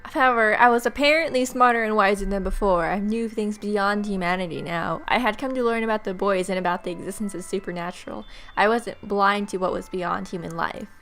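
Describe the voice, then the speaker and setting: A woman's voice: medium pitch, a little fast, hardly any pauses, fairly bright tone. A young adult woman hosting a let's play, reading visual novel text aloud expressively with casual, sarcastic reactions. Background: game music.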